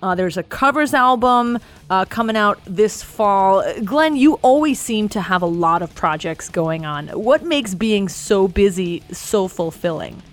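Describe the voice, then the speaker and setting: A woman's voice: mid-range voice, animated, measured pace. A woman hosting a radio show, giving polished announcer-style intros and asking her guest questions. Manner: upbeat, polished announcer delivery with some hesitant restarts.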